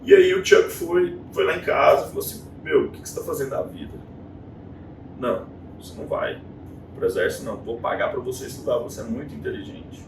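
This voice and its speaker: A man's voice: medium pitch, quick pace, vocal fry. Brazilian man telling stories in an echoey room. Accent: Brazilian Portuguese. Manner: animated storytelling, acting out quoted voices. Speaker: guest. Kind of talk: casual interview chat. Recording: echoey room, distant mic, noisy.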